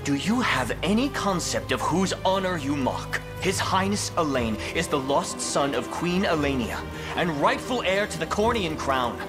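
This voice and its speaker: Loyal, stern game knight, male actor, lightish high register, animated courtly diction, music behind. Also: moderate pace, natural voice. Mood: indignant, stern. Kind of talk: video game fantasy acting.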